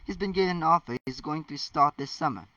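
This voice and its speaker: A man's voice: on the high side, fast talker, bright, forceful tone, dry recording. A man voicing a flippant, mock-innocent teenage schoolboy in an amateur story reading. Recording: dull lo-fi, distant mic.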